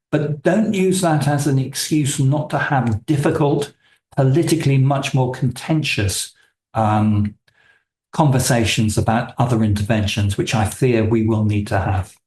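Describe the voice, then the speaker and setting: A man, a guest speaker answering audience questions in a measured, hesitant way over a video call. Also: mid-range voice, a little mellow. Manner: measured, hesitant, many fillers. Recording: echoey room.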